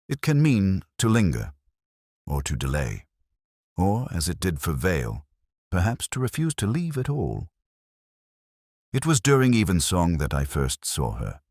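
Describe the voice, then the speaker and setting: A man narrating a first-person detective story in a measured, literary, reflective way. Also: very deep voice, a little mellow, dry recording, studio quality.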